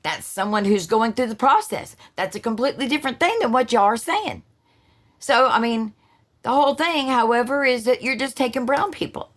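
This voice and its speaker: A woman's voice: fairly high pitch, expressive, normal speed, a bit bright. A woman who hosts her own channel, giving a blunt, sarcastic political monologue full of profanity and restarts. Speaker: political commentary host. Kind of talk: casual opinion monologue.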